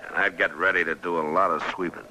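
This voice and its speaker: An actor playing a stern, weary American marshal of the Old West, terse and commanding, in old-time radio western style. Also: male voice, low voice, fairly even intonation, fast, sharp tone, exaggerated voice. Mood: stern lecturing. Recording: noisy old radio drama recording.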